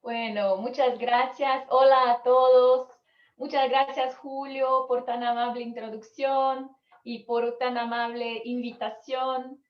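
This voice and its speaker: A woman's voice: high voice, level tone, slow, roomy sound, lo-fi sound. A Brazilian woman whose first language is Portuguese, giving an online conference lecture in careful, hesitant second-language Spanish over a video call.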